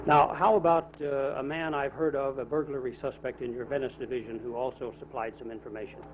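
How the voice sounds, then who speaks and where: A man's voice: medium pitch, level tone, fairly quick. A man with an American accent working as a news reporter, putting questions in a press interview. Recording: noisy lo-fi archival newsreel sound.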